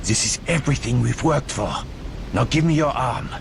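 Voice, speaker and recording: A man's voice: medium pitch, normal speed, gravelly, heavy background noise, natural voice. An actor playing a stubborn, driven old scientist father in a dramatic video game. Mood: urgent, insistent. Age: older.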